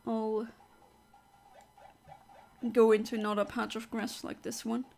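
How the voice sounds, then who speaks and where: A woman's voice: a little high, level tone, measured pace, mellow. A woman from Europe, a live streamer, chatting casually and answering her viewers' comments aloud while she plays.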